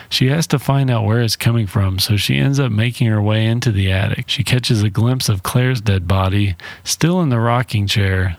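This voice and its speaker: A man with a lowish voice and a heavy gravelly fry, recapping a film casually. He is irreverent and slangy, swearing freely with a wry edge. The recording is dry and close. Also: no room sound. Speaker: film reviewer and narrator. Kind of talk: casual, profane movie recap narration.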